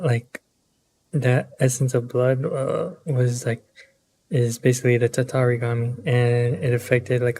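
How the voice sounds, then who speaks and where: A man's voice: mid-range voice, warm tone. A man giving casual, rambling, hesitant commentary as he plays through a game, with slangy phrasing. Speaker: game streamer.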